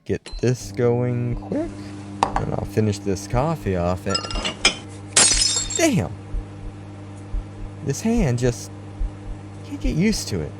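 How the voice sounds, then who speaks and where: A man playing a wry, uneasy host of a late-night paranormal radio show, speaking in a scripted, folksy, theatrical way with deliberate pauses. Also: medium pitch, noisy background, full character voice. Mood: weary, unsettled.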